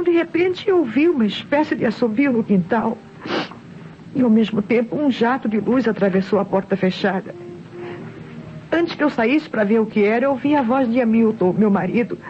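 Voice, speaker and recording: A woman's voice: fairly high pitch, lightly acted. Woman playing a distressed widow, muffled radio drama. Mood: shaken. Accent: Brazilian. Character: distressed widowed housewife and mother testifying to police. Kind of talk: old Brazilian radio mystery drama acting. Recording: muffled, noisy old radio recording.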